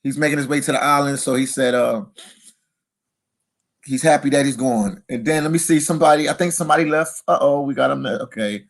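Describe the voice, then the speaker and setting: A man's voice: medium pitch, a little fast, gravelly. A man hosting a casual live stream, reading viewer comments aloud and chatting.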